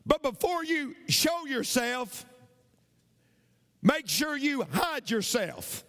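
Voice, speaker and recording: Male pastor, lively high voice, long hall reverb, storytelling preacher. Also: slow pace. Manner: animated, builds to shouted preaching. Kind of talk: sermon storytelling.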